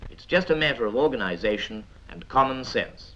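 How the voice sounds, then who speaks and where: A British man reads scripted 1950s military training film narration in a measured, instructive manner with dry asides. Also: medium pitch, lively intonation, sharp tone, slight background noise. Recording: dull vintage 1950s film soundtrack.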